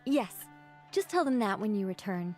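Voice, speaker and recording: A woman's voice: mid-range voice, big pitch swings, moderate pace, natural voice. A woman voicing a confident, teasing young woman in a video game. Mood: assured, teasing. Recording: faint game music behind.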